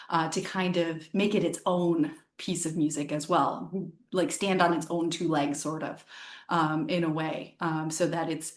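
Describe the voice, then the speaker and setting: A woman's voice: low voice, echoey room. A woman harpist and singer from the United States, answering interview questions in a chatty way, thinking aloud with many ums and restarts. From Northern Virginia, USA.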